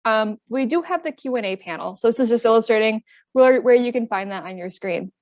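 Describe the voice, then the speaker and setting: Webinar host, female, higher voice; welcoming, reads from notes with filler words; muffled dry call audio. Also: animated, fairly quick, darkish tone, dry recording. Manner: welcoming, reads prepared remarks with frequent "um"s. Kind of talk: hosting an online panel. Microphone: computer mic on a video call.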